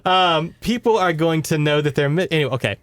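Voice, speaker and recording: A man's voice: fairly high pitch, brisk, fairly bright tone, no room sound, studio quality. A man hosting a podcast, casually recapping a story with sarcastic, exasperated, sweary asides. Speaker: podcast host. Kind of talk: casual recap of a horror novel.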